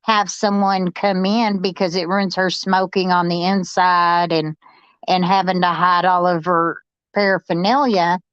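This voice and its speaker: A woman's voice: lowish voice, level tone, measured pace, a bit bright, clear, resonant tone, dry recording, dull audio. A woman hosting a casual discussion show, talking informally and reading out comments.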